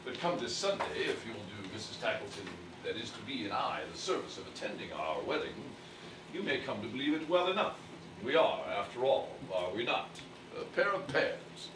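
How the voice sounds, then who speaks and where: An actor playing a cantankerous, child-hating older merchant villain in stage musical style, pompous, blustering and wordy. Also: male voice, mid-range voice, sing-song, normal speed, background noise, natural voice. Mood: smugly courteous. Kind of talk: theatrical musical stage acting. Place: theatre stage. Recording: echoey distant stage recording with music.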